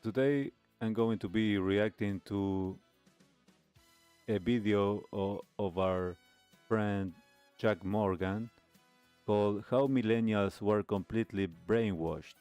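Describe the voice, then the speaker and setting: A man hosting a talk show, giving commentary on a video in measured, hesitant phrasing. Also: on the low side, darkish tone, clean tone, no echo, soft background music. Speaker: host of a nostalgia talk show.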